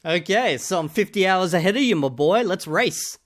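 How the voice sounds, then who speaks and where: A man with a fairly high voice gives casual, argumentative commentary. He presses his points and keeps checking in with a pointed "okay?". His delivery is emphatic and conversational. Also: expressive, normal speed. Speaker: YouTuber reacting to another video.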